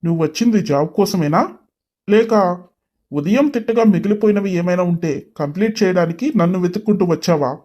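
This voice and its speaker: A woman's voice: fairly low pitch, brisk, warm tone, pitched below the performer's own voice, natural voice. A woman voicing an arrogant young male boss in an audio story, mocking and curt. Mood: sarcastic. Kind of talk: acted dialogue in an audio story.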